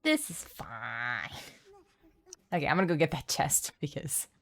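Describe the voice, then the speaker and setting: A woman's voice: low-pitched, big pitch swings, a little slow, creaky. A woman livestreaming a game, thinking aloud in a casual, playful way. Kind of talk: casual gameplay commentary.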